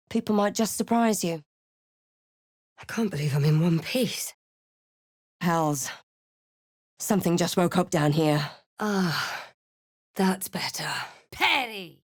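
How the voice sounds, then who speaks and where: A woman playing a wry, guarded adventurer heroine in a fantasy game, with a measured, dramatic delivery. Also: mid-range voice, lively intonation, no echo, studio quality. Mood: wry, watchful, then forceful incantation. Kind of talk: scripted fantasy game voice lines and narration.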